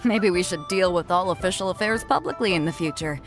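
A woman's voice: lowish voice, animated, fairly quick, lightly acted. An actress voicing a bold female ship captain who speaks bluntly and wryly. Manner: blunt, wry. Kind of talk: video game character dialogue. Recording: game audio over loud music.

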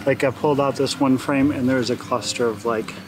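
An older man chatting briefly in an outdoor garden, giving short, relaxed replies. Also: medium pitch, brisk, background noise.

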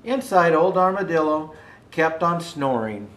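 An older man reading a children's picture book aloud as a storyteller, in an unhurried, expressive way. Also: on the high side. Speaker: storyteller dressed as Santa Claus. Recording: roomy indoor echo.

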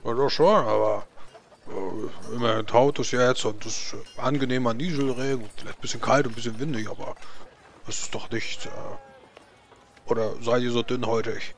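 A man's voice: mid-range voice, steady tone, moderate pace, creaky, lightly acted. A man roleplaying a blunt, curious and proud dwarf in a tabletop game, asking naive questions and often repeating himself.

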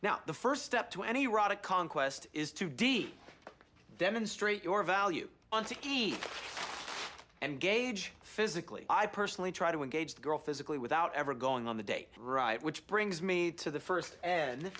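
An actor as a vain sitcom playboy giving a formal lecture. He is methodical and deeply self-satisfied, with faint noise and clicks behind him. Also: expressive, normal speed, lightly acted. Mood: smug. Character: smug, vain male playboy lecturing on seduction. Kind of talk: sitcom acting as a presentation.

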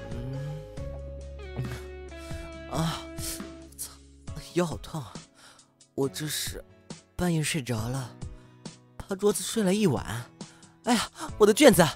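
A man playing an earnest, easily flustered high-school boy in an amateur campus audio drama. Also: lively intonation, takes it slowly, pauses often, soft background music. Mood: groggy.

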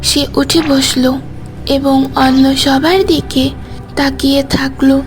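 A woman giving scripted narration of a story, deliberate, with pauses between phrases. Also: sits high, flat delivery, soft background music.

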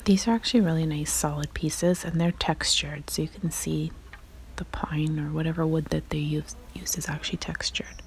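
A woman whispering softly and chattily as she films herself browsing a large craft store on a handheld phone. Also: low voice, fairly even intonation, normal speed, slight background noise. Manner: soft whispering, chatty and admiring. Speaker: vlogger. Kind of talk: whispered shop-with-me vlog. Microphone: handheld phone camera.

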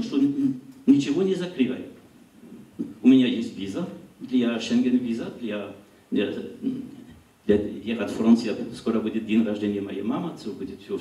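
A middle-aged man with a French accent, speaking as a non-native giving a public lecture, often pausing to search for words. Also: mid-range voice, warm tone, recorded from a distance. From France. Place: small gallery hall, indoors. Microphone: handheld mic. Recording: roomy hall recorded from afar.

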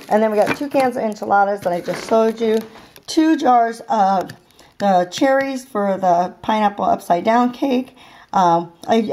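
A middle-aged woman talks through her groceries in a home kitchen, chatty and homey, her voice sitting at an ordinary middle pitch. She fills pauses with ums and uhs as she goes. A faint hiss and the crinkle of bags sit under the recording from the handheld camera. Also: darkish tone. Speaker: vlogger showing a grocery haul. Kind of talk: casual show-and-tell narration. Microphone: handheld camera filming the counter.

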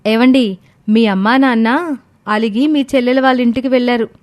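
A woman's voice: fairly high pitch, steady tone, moderate pace, natural voice. A woman playing a shrewd, bossy young daughter-in-law in a family moral story, nagging and commanding. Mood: bossy, urging.

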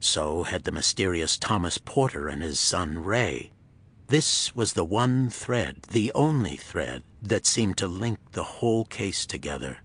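A man giving scripted narration of a pulp thriller audiobook in a husky voice. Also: deep voice, big pitch swings, a little slow, fairly bright tone.

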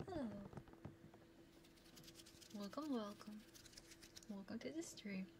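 A woman streaming ASMR, whispering and softly chatting to viewers in a soothing, playful way, close to a binaural microphone. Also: mid-range voice, lively intonation, slow pace, background noise. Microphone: close binaural ear microphone.

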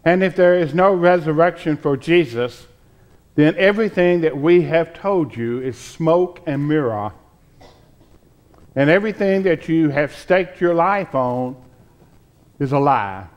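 A pastor in his sixties reading scripture from a church pulpit in a deliberate, solemn way with pauses. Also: on the high side, lively intonation, dull audio. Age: 60s.

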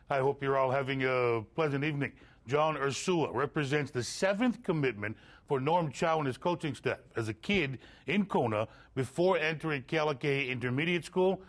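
A middle-aged man reading scripted sports news as a television anchor in a studio. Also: fairly high pitch.

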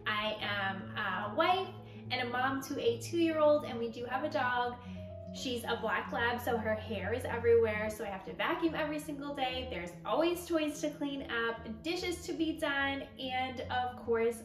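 A woman's voice: on the high side, unhurried. A young woman giving a casual, chatty voice-over as a home vlogger, talking directly to her viewers. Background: background music.

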